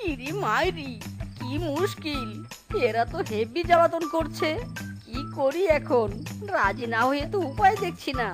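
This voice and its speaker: Give this cartoon fox husband a very high voice. He is hapless and henpecked, forever exclaiming, complaining and coaxing. Children's cartoon music plays clearly behind him. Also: expressive, unhurried. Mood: flustered. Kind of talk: cartoon voice acting in a comic folk tale.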